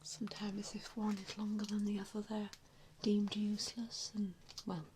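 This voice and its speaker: A woman doing an ASMR roleplay in a breathy whisper, speaking in a gentle, hesitant, reassuring way. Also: medium pitch, monotone, moderate pace, a little hiss or hum. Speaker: ASMR roleplayer playing an assessor. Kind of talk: ASMR roleplay, softly whispered personal attention.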